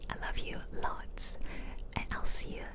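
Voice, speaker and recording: A woman whispering casual, chatty ASMR commentary over a video game, rambling along close to the microphone. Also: unhurried. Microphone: close microphone, ear-to-ear whispering.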